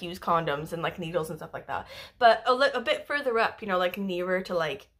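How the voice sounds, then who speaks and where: A woman's voice: medium pitch, a bit bright. A young woman from Wales chatting casually about books, rambling with lots of fillers and the odd swear word. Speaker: book vlogger. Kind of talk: casual book review chat.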